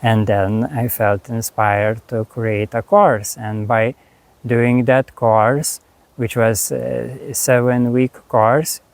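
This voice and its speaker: A man with a Lithuanian accent, a spiritual teacher talking slowly and haltingly to camera about his course, with many pauses and restarts. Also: mid-range voice, steady tone, gravelly, dry recording. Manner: unhurried, halting, frequent fillers and restarts. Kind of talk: reflective monologue.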